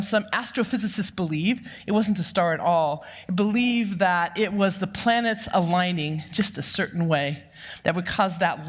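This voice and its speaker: A woman in her fifties with a loud voice, giving a lively, humorous sermon full of personal stories. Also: fairly low pitch. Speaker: church counseling director giving the sermon. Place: church sanctuary. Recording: long reverb of a church hall.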